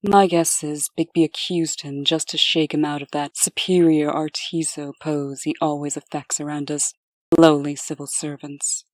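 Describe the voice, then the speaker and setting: A woman playing a composed, dutiful, dryly candid deputy in a comic dub, with measured, formal delivery. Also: low-pitched, flat delivery, darkish tone, no room sound, lightly acted.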